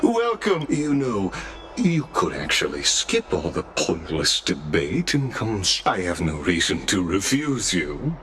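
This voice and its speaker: An actor playing a genial, courteous guardian in a video game, ceremonious and unhurried. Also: male voice, low voice, wildly swooping pitch, fairly bright tone, a little hiss or hum, full character voice. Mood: welcoming. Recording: music behind the voice. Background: music.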